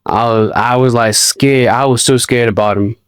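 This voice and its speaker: A man's voice: mid-range voice, fairly even intonation, normal speed, clear, resonant tone, no room sound. A man hosting a casual interview, asking friendly questions with many fillers and hesitations. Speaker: host.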